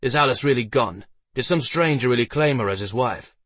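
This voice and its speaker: A man's voice: fairly low pitch, normal speed, fairly bright tone, no room sound, recorded from a distance. Proud knight for a fantasy storybook, read by a man: stern, demanding, formal, menacing. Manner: commanding, formal. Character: proud, furious male knight, demanding and threatening.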